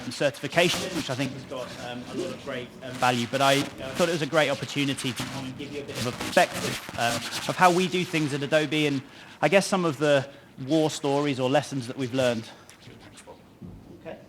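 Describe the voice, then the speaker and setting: A man's voice: medium pitch, fairly quick, background noise. Man giving a conference talk, um-filled. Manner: conversational, many ums and restarts. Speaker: conference speaker. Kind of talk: conference presentation. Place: conference stage before an audience. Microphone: lapel mic pack.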